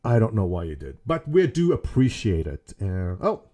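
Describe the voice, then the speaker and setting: A middle-aged man with a South African accent talks casually to his gaming community from a small room at home. His voice is mellow and warm, and he rambles from one topic to the next with jokes at his own expense. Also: fairly low pitch, big pitch swings, moderate pace. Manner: rambling, jokey, self-mocking. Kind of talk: casual webcam vlog.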